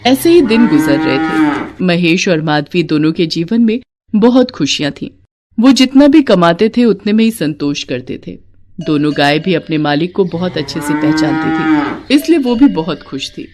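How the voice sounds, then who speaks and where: A woman's voice: on the low side, animated, moderate pace, crowd noise. A woman reading a scripted devotional moral tale as a storyteller. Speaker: narrator.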